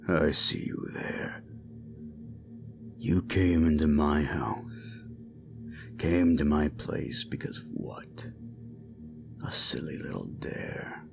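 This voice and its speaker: A man playing a cruel, menacing slasher killer in a horror audio roleplay, taunting a captive in a gravelly, breathy half-whisper. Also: extremely low pitch, slow, pauses often, heavily acted. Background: music.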